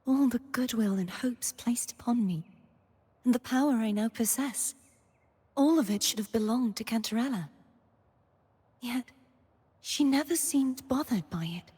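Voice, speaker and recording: A woman playing a gentle, earnest, solemn young knightly maiden in a video game, speaking softly in a scripted monologue. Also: a little high, lively intonation, unhurried, long pauses, husky. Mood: remorseful, tender. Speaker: game character voice actor. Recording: long reverb on the voice.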